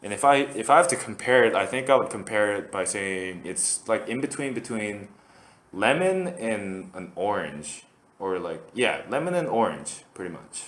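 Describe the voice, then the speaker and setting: Low-voiced guy from korea just rambling on his podcast, lots of ums, echoey room. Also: lively intonation, normal speed, fairly bright tone. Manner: rambling, with many fillers and restarts. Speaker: podcast host. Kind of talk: casual solo podcast monologue.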